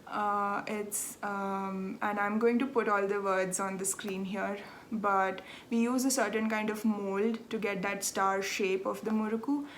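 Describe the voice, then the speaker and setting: A young Indian woman hosting a podcast in relaxed chat, explaining patiently and often laughing at herself. Her pitch stays fairly even and level, with a faint hum of ambience behind her. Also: a little slow, hardly any pauses. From South India, Andhra Pradesh. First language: Telugu. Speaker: podcast host. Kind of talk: casual chat.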